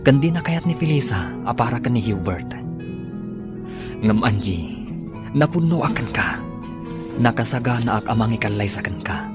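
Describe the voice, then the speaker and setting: A man's voice: medium pitch, very animated, moderate pace, long pauses, close to an everyday voice. A man playing an earnest, insistent well-off suitor courting a housemaid in an Ilocano radio drama. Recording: radio drama with music underneath.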